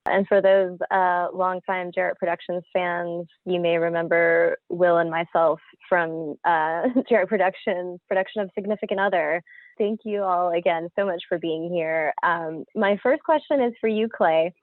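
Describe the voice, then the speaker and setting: Lady host, kinda low voice, gushing intros, close dry sound on a thin phone-like call. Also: steady tone, normal speed, dry recording. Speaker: host, marketing director of a theater company. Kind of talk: hosting a panel introduction. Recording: narrow phone-like video call audio.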